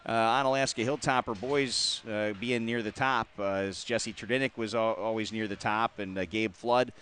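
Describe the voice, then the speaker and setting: A man from the Upper Midwest hosting a local hockey talk show, chatty and quick to laugh, with many filler words. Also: lowish voice, fairly even intonation, fairly bright tone, slight background noise. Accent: Upper Midwest American. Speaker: host. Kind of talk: casual sports talk.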